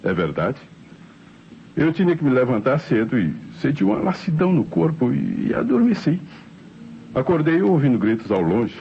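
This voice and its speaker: A man's voice: mid-range voice, very animated, normal speed, gravelly, slightly rough, full character voice. An actor playing a defensive married man giving hesitant, emphatic testimony in old radio drama style. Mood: uneasy recounting. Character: defensive married man, neighbour who saw a flying saucer. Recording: muffled, faintly noisy old radio recording.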